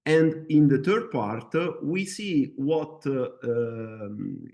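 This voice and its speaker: Male guest presenter, Italian accent, average pitch, halting second-language English delivery. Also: lively intonation, a little mellow. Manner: hesitant, halting non-native English. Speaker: guest presenter, MRI radiographer. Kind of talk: webinar presentation.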